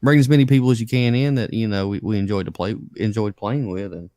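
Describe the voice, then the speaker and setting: Low-voiced man talking in halting bursts. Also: steady tone, mellow, no room sound, studio quality. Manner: halting, repeats words, many fillers. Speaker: guest. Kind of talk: casual podcast chat.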